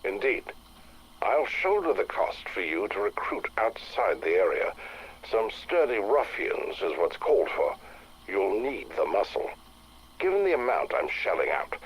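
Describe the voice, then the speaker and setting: An actor playing an imperious, scheming wealthy patron with a husky voice, formal and commanding, in a game audio log. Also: male voice, lowish voice, exaggerated voice, low-quality recording.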